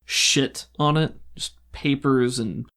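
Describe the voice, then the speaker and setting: A man's voice: mid-range voice, slow, vocal fry, fairly bright tone. Man narrating a tabletop game. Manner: descriptive, improvised, hesitant searching for words. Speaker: game master.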